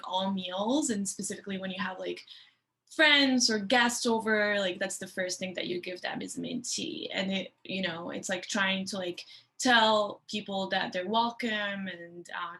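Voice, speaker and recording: Bright-voiced young woman from Morocco. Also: mid-range voice. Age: 20s. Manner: relaxed, with many fillers and restarts. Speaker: guest speaker for an education program. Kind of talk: casual talk to students.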